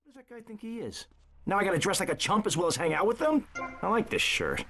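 An actor playing a tough, curt, commanding gangster with a short temper in a crime video game. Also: male voice, sits high, wildly swooping pitch, fast talker, a bit bright, faint music behind, heavily acted. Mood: grumbling. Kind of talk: cinematic video game acting.

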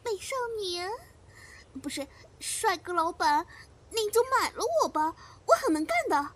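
A woman's voice: extremely high pitch, animated, measured pace, full character voice. A woman playing a melodramatic, overworked servant girl in a comedy audio drama, whining and exclaiming with cheeky desperation. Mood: starstruck. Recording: loud music behind the voice.

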